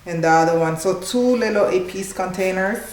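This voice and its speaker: A woman's voice: on the low side, fairly quick. A woman in her thirties hosting a casual unboxing vlog at home, chatty and informal, exclaiming with delight. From Haitian background. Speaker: cooking channel host. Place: small living room at home, indoors. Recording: echoey room, clear background noise.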